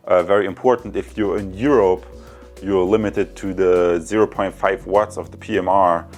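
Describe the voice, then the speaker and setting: A man giving a casual product review, talking conversationally to his viewers as a tech reviewer. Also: low-pitched. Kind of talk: casual product review and field test talk.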